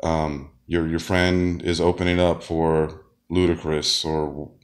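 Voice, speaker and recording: A man's voice: very deep voice, flat delivery, slow. A man who is sick, talking in a rambling casual monologue with many fillers and restarts, sometimes coughing. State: sick, deep voice. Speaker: wrestler and comedian.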